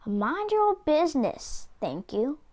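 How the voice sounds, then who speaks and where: A woman's voice: high voice, big pitch swings, a little slow, darkish tone, voice pushed up. A woman reading a children's storybook, voicing a cocky young male little pig in a breezy, defiant manner. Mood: breezy, dismissive. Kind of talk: children's storybook read-aloud.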